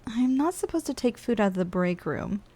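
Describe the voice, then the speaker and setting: A woman's voice: a little high, animated, moderate pace, dark tone. A woman voicing a playful, lazy giantess office worker in an intimate ASMR roleplay, coaxing and casually condescending as she speaks straight to the listener. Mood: casual, musing.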